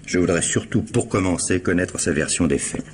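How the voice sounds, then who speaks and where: An actor plays a middle-aged police detective in a French radio thriller. His voice sits very low and he speaks with measured, ironic authority. There is some noise on the vintage-style recording. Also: lightly acted. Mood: probing, composed. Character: calm, firm male police detective, a worried woman's ex-husband. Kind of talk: French mystery radio drama acting.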